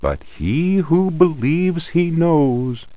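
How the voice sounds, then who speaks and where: An actor playing a grave reader of an accursed tome in a video game, solemn, ominous and theatrical. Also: male voice, a little high, big pitch swings, extremely slow, mellow, no echo, exaggerated voice. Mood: grave, dramatic. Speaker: narrator. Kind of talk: theatrical reading of an ancient occult book.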